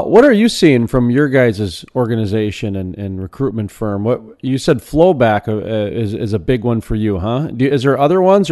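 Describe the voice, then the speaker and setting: A man's voice: fairly low pitch, a little mellow. Casual joking male interview host. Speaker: radio show host. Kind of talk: interview questions.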